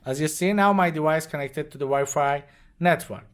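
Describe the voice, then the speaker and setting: Man giving a halting non-native English tutorial. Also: fairly high pitch, clean tone. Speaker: product demonstrator. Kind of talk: unscripted step-by-step product tutorial. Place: indoors at a desk with devices.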